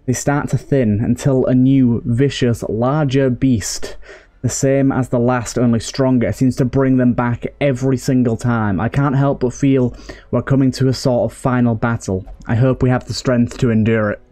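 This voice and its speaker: A man reading fantasy diary entries as a troubled adventurer, in a slow, solemn, dramatic manner. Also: medium pitch, fairly even intonation, warm tone, pitched below the performer's own voice, close to an everyday voice. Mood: weary hope. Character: troubled adventurer reading out a grim journal entry.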